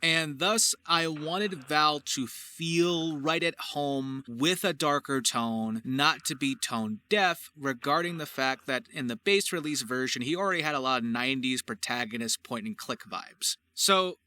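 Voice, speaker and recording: A man's voice: a little high, measured pace, hardly any pauses, pushed and bright. A man talking casually as a voice actor and writer about his creative work, in an effusive, emphatic and wordy way. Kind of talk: casual question-and-answer behind-the-scenes commentary.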